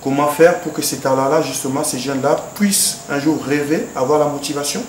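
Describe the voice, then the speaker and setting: A man's voice: on the high side, fairly even intonation, fairly quick. A man, a former football player, giving a formal, hesitant address to a governor, with many fillers and restarts. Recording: echoey room, background noise.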